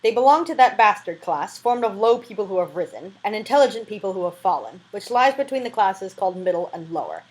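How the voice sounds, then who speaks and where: A woman's voice: medium pitch, rough recording. A woman reading a classic novel aloud as an amateur audiobook reader, with a dramatic, emphatic delivery and an informal touch.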